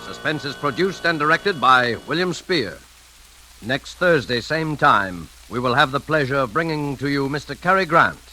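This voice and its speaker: A man's voice: mid-range voice, animated, normal speed, low-quality recording. A man announcing a radio show in formal 1940s broadcast style. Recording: old radio broadcast under loud music. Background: loud music.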